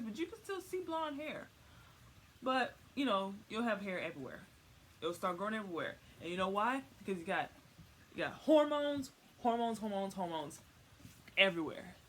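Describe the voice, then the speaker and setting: Young adult female vlogger, mid-pitched, slangy and rambling comic explainer, slightly noisy home recording. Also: a bit bright. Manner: rambling, informal slang, repeats words for emphasis. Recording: faint noise, home recording.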